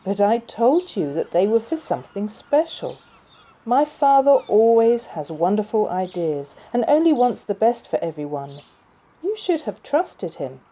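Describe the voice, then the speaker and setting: Woman voicing a patient young bunny, lively intonation. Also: mid-range voice, measured pace, muffled recording. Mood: earnest, reassuring. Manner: earnest, reassuring, gently chiding. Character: loyal, patient young bunny, son of a rabbit. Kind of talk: children's storybook character reading.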